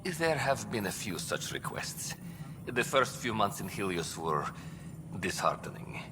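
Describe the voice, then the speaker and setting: A man playing a dry, officious bureaucrat in a video game, speaking formally with a deadpan, wry delivery. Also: on the low side, a little slow, background noise. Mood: formal, procedural. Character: dry, officious male bureaucrat managing cryo deployments. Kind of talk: video game character dialogue. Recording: reverberant hall, clear background ambience.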